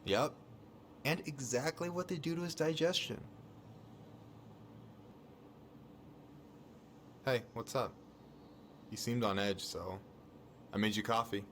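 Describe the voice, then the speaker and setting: A man's voice: mid-range voice, moderate pace, pauses often, fairly bright tone, a little hiss or hum, close to an everyday voice. A man playing a laid-back, supportive young American friend in a video game, colloquial and reassuring. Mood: easygoing, teasing. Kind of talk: naturalistic video game dialogue.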